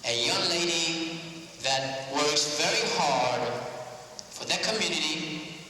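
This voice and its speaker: A man acting as master of ceremonies, giving a measured, formal introduction at a school event. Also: on the high side, bright, forceful tone. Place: large school hall. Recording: echoey hall from far off, faint hiss.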